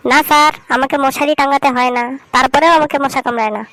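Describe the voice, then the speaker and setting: A woman's voice: sits high, fairly even intonation, fairly bright tone, a little hiss or hum. A woman voicing a cheeky cartoon schoolboy. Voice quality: fast, cheeky storytelling. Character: cheeky schoolboy with absurd answers. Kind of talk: cartoon voice acting.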